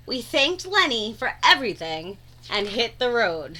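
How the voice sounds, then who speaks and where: A woman's voice: a little high, very animated, a little slow, pushed and bright. A woman reading a children's storybook aloud expressively, drawing out words for dramatic emphasis. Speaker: read-aloud narrator.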